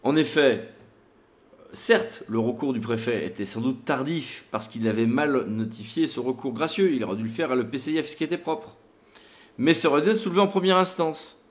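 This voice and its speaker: A man's voice: mid-range voice, moderate pace. A man presenting a legal tutorial in a didactic, emphatic way, like a lawyer explaining case law to an audience.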